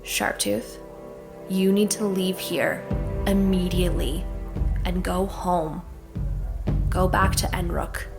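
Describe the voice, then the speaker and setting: A stern warrior woman, low and gravelly. She gives grave, measured commands in a fantasy audio drama, with music playing beneath her. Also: steady tone. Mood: stern, urgent. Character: stern, commanding female warrior with a guarded heart.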